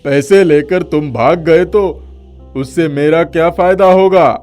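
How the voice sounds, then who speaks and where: A cunning, wicked factory owner in a storybook tale, acted out by a man. He speaks slowly in a raised, slightly high voice, brusque and dismissive, with music playing behind him. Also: a little mellow. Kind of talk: acted dialogue in a Hindi moral story.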